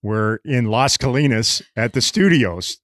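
A man's voice: medium pitch, animated, unhurried, fairly bright tone, no echo. Man, middle-aged, podcast host, teasing, dry close mic. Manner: jokey, teasing, stumbling over words. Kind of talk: casual podcast chat.

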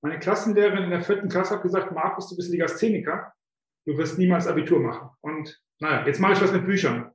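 Fifties man, high voice, chatty and self-mocking storyteller with lots of filler sounds, recorded in a very reverberant room. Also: fast talker. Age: 50s. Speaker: guest, author coach. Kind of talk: casual interview storytelling. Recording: very echoey room.

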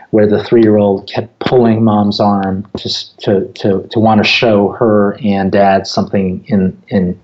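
A middle-aged American man, a native Japanese speaker, talking as an interview guest in a conversational storytelling way, with deliberate, hesitant phrasing. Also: lowish voice, a little mellow, lo-fi sound. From born in Japan, grew up in the United States from age four. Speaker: interview guest, app company founder.

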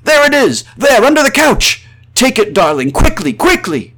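A man's voice: on the low side, lively intonation, normal speed. Theatrical, anguished ghost of a man, acted out. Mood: frantic pleading. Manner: theatrical, florid, swelling from hushed to frantic cries. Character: anguished male spirit trapped outside his undead body. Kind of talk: old-fashioned melodramatic horror radio monologue.

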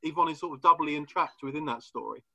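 A man's voice: fairly high pitch, fast talker, vocal fry, bright, forceful tone, muffled recording. A man hosting an academic podcast, developing literary points with frequent word repeats and restarts. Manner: fluent but restarting, repeats words as he builds a point. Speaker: podcast host.

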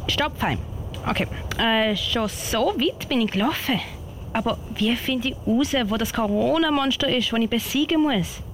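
A woman's voice: a little high, moderate pace, slight background noise, close to an everyday voice. A woman playing an earnest, determined little girl scout in a children's audio play. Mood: puzzled, pondering.

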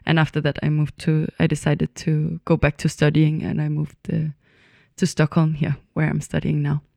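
A young adult dance student from Denmark, speaking thoughtfully in a reflective conversation, pausing often with fillers. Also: medium pitch, monotone, vocal fry, mellow, dry recording. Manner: thoughtful, hesitant, many pauses and fillers.

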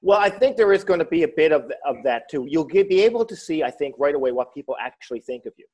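A man's voice: a little high, expressive, fast talker, darkish tone. Joking man telling stories. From Newfoundland. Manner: joking storyteller, slips into voices. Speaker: comedian and author guest. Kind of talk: casual interview.

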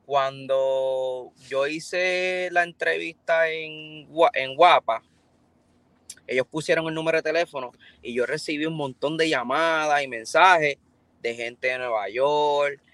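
A Puerto Rican man talking frankly in loose street slang as an interview guest. His voice sits a bit high for a man, and the recording is close and dry with no room sound. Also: slow pace, fairly bright tone, no echo. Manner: frank, informal street talk. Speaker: breakdancer guest. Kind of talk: casual interview.

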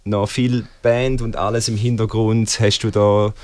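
A man's voice: fairly low pitch, flat delivery, a little slow, no room sound. A man hosting a radio show, interviewing a studio guest in a casual, conversational style.

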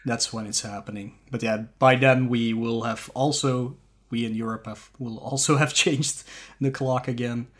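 A man's voice: medium pitch, moderate pace. Man chatting casually on a podcast. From Belgium. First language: Dutch. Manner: relaxed, laughs often, trailing fillers. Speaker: podcast co-host.

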